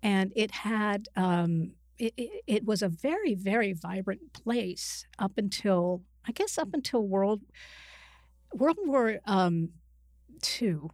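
Middle-aged woman, interview guest, conversational, halting thoughtful explanations, dry close sound. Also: medium pitch, expressive, a little mellow, dry recording. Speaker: interview guest, psychiatrist and author.

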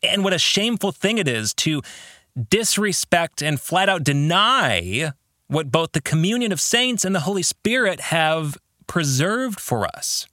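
A man's voice: fairly high pitch, very animated, a little slow, bright, forceful tone, no room sound, studio quality. A man hosting a reflective faith book discussion, earnest, putting questions to the listener. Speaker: podcast host and book author leading a study.

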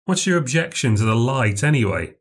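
A man's voice: medium pitch, big pitch swings, a little fast, husky, voice pushed up. A male storyteller puts on a sailor from an old sea story. He speaks bluntly in colloquial slang, his voice a little raised, wary yet kind at heart. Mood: suspicious. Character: plain-spoken fisherman sailor, wary but good-hearted.

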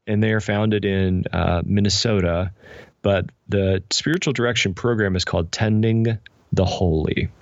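An American man speaking as an interview guest, explaining things in a thoughtful, measured, conversational way. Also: on the low side, fairly even intonation, gravelly, dry recording, dull audio. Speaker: podcast guest, spiritual director and pastor.

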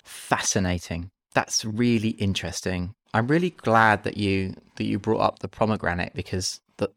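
A man hosting a conversation, calmly guiding his guest through imaginative exercises with slow, listed prompts. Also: on the low side, vocal fry, dry recording, studio quality. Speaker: host.

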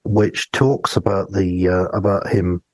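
Man, podcast co-host, lowish husky voice, dry close sound, hesitant thoughtful delivery, conversational. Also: fairly even intonation, measured pace, a little mellow, no room sound. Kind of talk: conversational discussion of a radio drama.

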